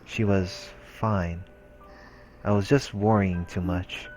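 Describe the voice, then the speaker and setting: A man reading a visual novel aloud as a solemn, hushed narration. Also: sits low, fairly even intonation, slow. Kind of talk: scripted narration read aloud. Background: game music.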